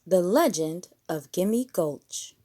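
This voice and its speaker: A woman's voice: on the low side, animated, slow pace, mellow. A woman storyteller reading a picture book aloud expressively for children.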